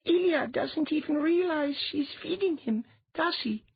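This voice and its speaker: A reader voicing a high, curious boy. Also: measured pace, dry recording, dull audio. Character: eager, curious boy who reads minds.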